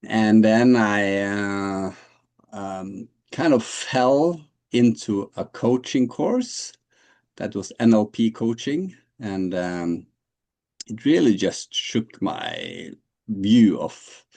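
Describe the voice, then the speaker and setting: A man's voice: fairly low pitch, very slow, gravelly, a little mellow. A man in his late forties from Norway, answering interview questions in a hesitant way, with many fillers and restarts. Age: 40s. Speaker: guest.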